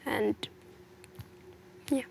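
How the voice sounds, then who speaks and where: A woman's voice: very slow, a little mellow. A young woman from South Sudan, a fashion model, answering interview questions in an earnest, reflective way, often adding "you know". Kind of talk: casual personal interview.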